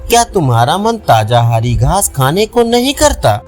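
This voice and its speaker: A man voicing a sly, flattering male jackal in a storybook tale, coaxing and ingratiating. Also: a little high, normal speed, soft background music, voice pushed down.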